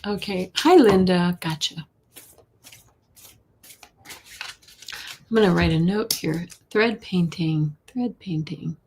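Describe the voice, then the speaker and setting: A woman hosting a live tarot reading, a little hoarse, chatty, with a soft voice that often drops to a whisper. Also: on the low side, slow, pauses often, slight background noise. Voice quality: soft voice, not loud. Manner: chatty, reads viewer questions aloud, drops to whispers. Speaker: intuitive reader, live stream host.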